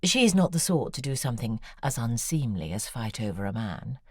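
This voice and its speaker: An actress voicing a poised, probing woman novelist in an audio drama, speaking in a measured, wry way. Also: extremely low pitch, sing-song, a little mellow, husky, no echo, studio quality, exaggerated voice. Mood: confessional. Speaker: author character.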